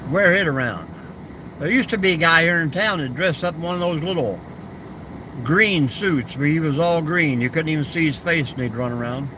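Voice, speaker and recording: A man's voice: fairly high pitch, background noise. An older man hosting a casual live stream, answering viewer comments in an easygoing, folksy way and reminiscing about the old days.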